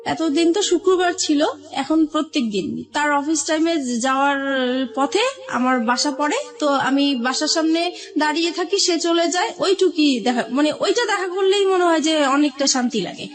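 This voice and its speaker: High-voiced Bangladeshi woman telling her story. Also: moderate pace. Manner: recounts events with reported dialogue. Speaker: guest telling own life story. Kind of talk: personal storytelling in an interview.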